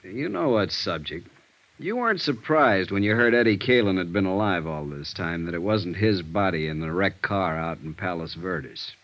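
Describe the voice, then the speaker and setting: Low-voiced, gruff American police sergeant. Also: male voice, expressive, normal speed, a bit bright. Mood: weary, insistent. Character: tired, exasperated male police homicide sergeant. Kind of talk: 1950s radio crime drama acting. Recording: dull, noisy vintage radio recording.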